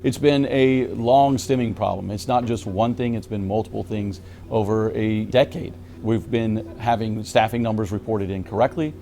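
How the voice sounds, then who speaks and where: A man's voice: lowish voice, steady tone, moderate pace, vocal fry, dark tone. A man explaining problems in an interview, speaking on behalf of a workforce.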